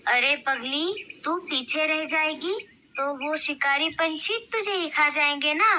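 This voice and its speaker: A sly, greedy cartoon auntie bird, voiced by a woman, very bright and high. She scolds and teases slowly in a bossy way, over background noise. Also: exaggerated voice. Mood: scolding, sly. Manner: scolding, teasing. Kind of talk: children's cartoon voice acting.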